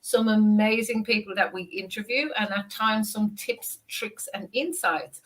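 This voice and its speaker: An Irish woman hosting a business interview, effusive and upbeat as she talks to her audience and guest. Her voice sits at a middle pitch. It comes through a video call. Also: measured pace, rough recording. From Ireland. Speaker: host. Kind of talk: interview host introduction.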